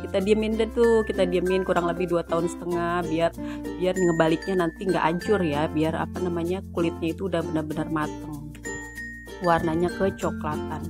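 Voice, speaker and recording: A woman, a cooking channel host in a home kitchen, talking through a recipe in playful joking banter, her voice a bit hoarse from a sore throat. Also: lowish voice, fairly even intonation, brisk, over music. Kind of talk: casual cooking tutorial chat.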